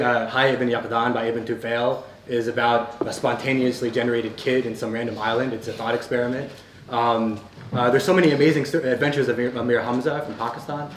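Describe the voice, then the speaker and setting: A man's voice: medium pitch, slight background noise. Passionate American man on a panel. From United States. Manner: quick, passionate, argumentative, with restarts. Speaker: panelist, novelist. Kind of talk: animated panel talk. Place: festival panel room. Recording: echoey room, faint ambience.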